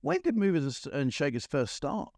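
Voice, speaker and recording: A man's voice: fairly high pitch, huge pitch swings, fairly quick, creaky, a little mellow, no echo, clean studio sound. A man hosting a casual interview, effusive and agreeable, often repeating himself for emphasis. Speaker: interviewer, video host.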